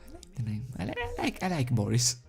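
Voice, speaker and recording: A man who is not a native English speaker, reading and commenting as an amateur in a halting, giggly, self-deprecating way. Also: mid-range voice, wildly swooping pitch, creaky. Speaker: amateur fan fiction reader. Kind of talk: story reading with casual commentary. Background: background music.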